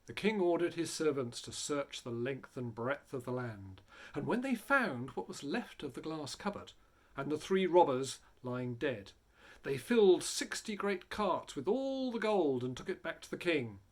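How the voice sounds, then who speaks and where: A man reading a story aloud in a theatrical, dramatic way, building and hushing for effect. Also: on the high side, very animated, a little slow. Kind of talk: animated dramatic storybook narration.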